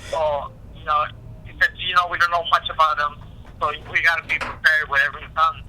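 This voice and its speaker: A man speaking as a boxer in a casual phone interview, halting and repeating himself, with frequent "you know". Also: a little high, level tone, sharp tone, slight background noise. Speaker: professional boxer being interviewed. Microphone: telephone call. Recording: tinny phone line.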